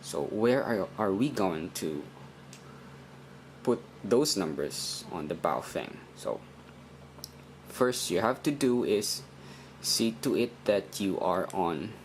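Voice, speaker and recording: A man with a fairly low voice walks through instructions very slowly. He is deliberate and halting, circling back to repeat himself in an informal teaching style. Faint noise sits behind the recording. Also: animated. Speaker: instructor in a how-to video. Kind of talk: informal step-by-step tutorial.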